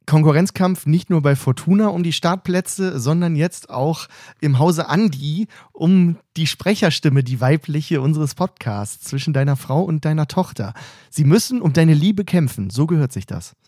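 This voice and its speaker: A man's voice: a little high, expressive, normal speed, dark tone, no room sound, studio quality. Joking man co-hosting a podcast. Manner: joking, teasing. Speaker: podcast co-host. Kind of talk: casual banter podcast. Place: training camp room.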